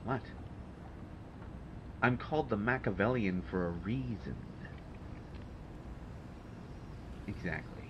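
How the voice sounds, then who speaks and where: A man's voice: on the low side, unhurried, lightly acted. A man playing a testy, sardonic supervillain genius in an audio roleplay, speaking in a dry, haughty, hesitant way with exasperation. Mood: smug, focused. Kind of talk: intimate audio roleplay monologue to a listener. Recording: dull, noisy home recording.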